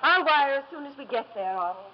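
An actress playing a wounded, restrained wife with wry bitterness in a radio drama. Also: female voice, high-pitched, lively intonation, brisk. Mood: brittle politeness. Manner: theatrical, emotionally restrained. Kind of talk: 1950s radio drama acting. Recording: muffled, faintly noisy 1950s radio recording.

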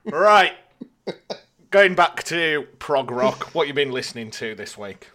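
A man speaks in a bright, slightly high voice as a podcast co-host. His chat is informal and candid, with a self-deprecating streak. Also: expressive, slow pace. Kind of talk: casual chat.

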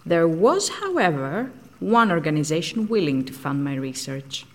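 A woman's voice: sits low, sing-song, moderate pace. An actress playing a frank, socially outcast woman scientist in an audio drama, speaking in a blunt, matter-of-fact way.

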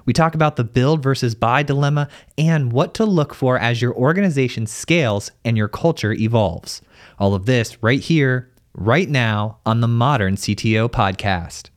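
A man's voice: medium pitch, normal speed, no room sound. Upbeat male podcast host, dry sound. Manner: upbeat, casual. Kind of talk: podcast interview.

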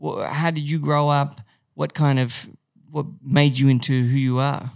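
A man's voice: medium pitch, fairly even intonation, measured pace, gravelly, darkish tone, dry recording, clean studio sound. A man interviewing a guest, asking rambling questions with stumbles and restarts.

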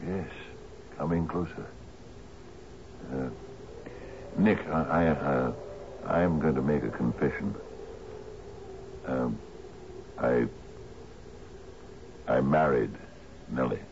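Very deep-voiced, doddering old sea captain. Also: male voice, full character voice. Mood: hesitant, confiding. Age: older. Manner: halting, stammering, repeats himself. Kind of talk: 1970s radio drama acting. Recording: dull, noisy old radio recording.

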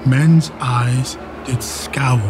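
An actor playing a stern, proud elderly English duke in a Shakespeare radio play, declaiming archaic verse with a quick temper. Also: male voice, medium pitch, steady tone, music in the background. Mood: grave, sorrowful. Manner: declamatory, measured verse delivery.

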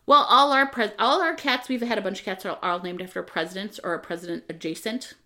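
A woman talks casually on a podcast in a medium-pitched voice with a bright, crisp edge. She tells anecdotes informally, building them up in short, loose pieces. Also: quick pace. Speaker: podcast guest or co-host. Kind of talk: casual chat.

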